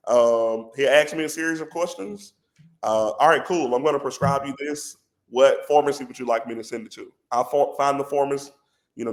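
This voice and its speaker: A man with a head cold and a congested voice, a company president talking as a podcast guest in an animated, persuasive way. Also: medium pitch. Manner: animated and persuasive, says "bro" a lot. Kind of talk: casual podcast conversation.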